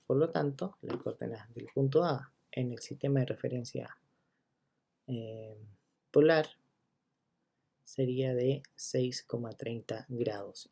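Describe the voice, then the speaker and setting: A man teaching a geometry lesson, counting steps out loud and checking in with listeners as he goes. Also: medium pitch, gravelly, slightly rough. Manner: walks through each step, checking in with listeners; counts items out loud one by one. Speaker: math teacher.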